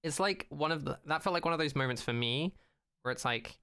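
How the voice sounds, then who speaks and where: Male podcast co-host, crisp and bright, loose rambling banter, informal and jokey. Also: a little high, brisk. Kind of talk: casual banter.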